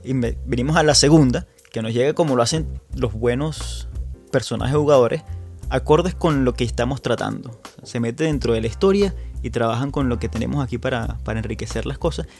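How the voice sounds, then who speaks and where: A man's voice: mid-range voice, fairly quick, creaky, darkish tone, faint music behind. A man with a Venezuelan accent hosting a tabletop gaming podcast in a chatty, casual way, often restarting and repeating words. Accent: Venezuelan Spanish. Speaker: podcast host.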